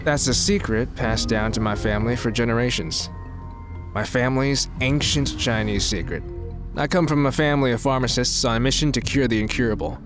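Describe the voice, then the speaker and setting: A man's voice: medium pitch, fairly quick, lightly acted. Guy acting a rich show-off on a date, audio drama, full of himself, music playing under him. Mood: boastful. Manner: boastful, self-important. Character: boastful wealthy man, smitten and flattering on a date.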